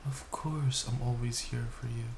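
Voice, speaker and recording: A young man playing a tender, doting boyfriend in an intimate comfort roleplay, speaking softly and soothingly with repeated reassurances. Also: medium pitch, steady tone, a little slow, noisy background, natural voice. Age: young adult. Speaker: boyfriend roleplay audio performer. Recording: roomy echo, faint background ambience. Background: rain sounds.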